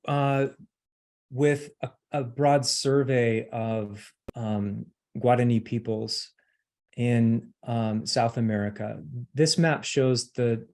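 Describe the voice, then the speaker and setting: A middle-aged man giving an academic lecture, pausing often with ums and uhs as he speaks. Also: medium pitch, vocal fry, darkish tone. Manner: hesitant, many ums and uhs.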